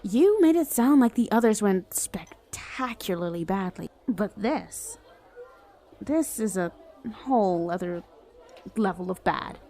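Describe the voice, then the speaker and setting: A woman's voice: medium pitch, animated, unhurried, dark tone, a little hiss or hum. A woman playing a flirty, self-justifying villain in an ASMR roleplay, talking in a casual, playful, sardonic way. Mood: teasing, amused. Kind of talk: acted ASMR roleplay monologue.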